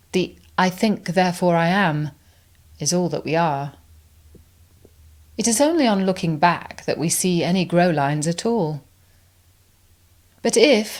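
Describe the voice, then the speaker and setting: Female poetry reader, lowish register, unhurried and reflective, deliberate pauses. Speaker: reader of poems. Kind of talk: poetry recitation.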